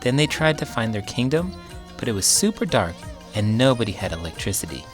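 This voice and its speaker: A man voicing a shy, earnest young girl in a children's storybook, with a gentle manner. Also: medium pitch, very animated, a little fast, lightly acted. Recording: music playing behind the voice.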